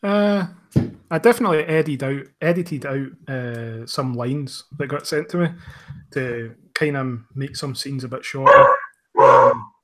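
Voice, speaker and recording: A man's voice: mid-range voice, measured pace, a bit bright, slight background noise. A man talking casually as a writer-producer guest in an interview, understated and a little hesitant.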